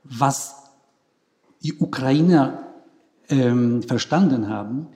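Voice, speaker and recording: A man from Poland with a Polish accent, speaking haltingly as a panel guest and searching for words while telling anecdotes. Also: medium pitch, warm tone.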